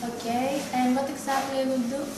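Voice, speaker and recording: A woman's voice: sits high, flat delivery, normal speed, natural voice. A teenage girl with a Greek accent playing a polite, eager applicant for a secretary job in a school role-play. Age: teenage student. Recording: very echoey, distant, noisy room.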